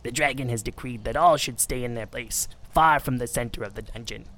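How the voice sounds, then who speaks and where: A man's voice: medium pitch, a little fast, fairly bright tone, natural voice. A man voicing a cruel, sneering male kobold in a storybook reading, hissing out insults and threats. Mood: threatening. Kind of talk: audiobook character voice.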